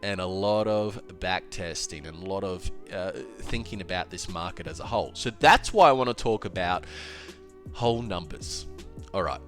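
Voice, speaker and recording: Male host, lowish stuffy voice, animated casual market talk, faint music behind. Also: moderate pace. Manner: animated, emphatic, with filler and restarts. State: congested, slightly nasal. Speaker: crypto trading educator. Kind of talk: casual solo video talk.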